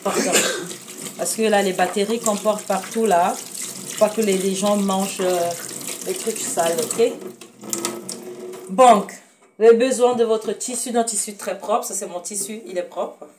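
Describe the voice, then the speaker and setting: A woman's voice: medium pitch, unhurried, pauses often, far from the mic, noisy background. A woman from Cameroon with a Cameroonian French accent, hosting a casual home cooking demonstration in her kitchen, talking hesitantly with many fillers and self-corrections and addressing viewers fondly. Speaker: cooking show host.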